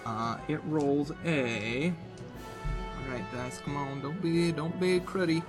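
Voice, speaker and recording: A man acting as game master, narrating a tabletop game casually and informally, often pausing to think aloud. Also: on the high side. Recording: music behind the voice. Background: game soundtrack music.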